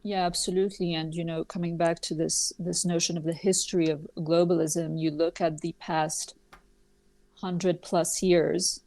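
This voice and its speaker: A woman from Switzerland, a podcast guest explaining her views in a measured way with many fillers. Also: lowish voice, flat delivery, mellow, dry recording. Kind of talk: podcast interview.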